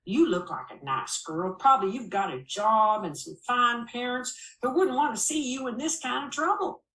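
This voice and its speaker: A man's voice: very high voice, animated, moderate pace, bright, forceful tone, voice pushed up, heavily acted. A woman narrator acts out a small-town sheriff in an audiobook, stern and folksy. He needles and talks down to whoever he is questioning, with sarcasm in a raised, pushed voice. The room rings with echo. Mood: stern pressure. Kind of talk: audiobook character dialogue. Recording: echoey room.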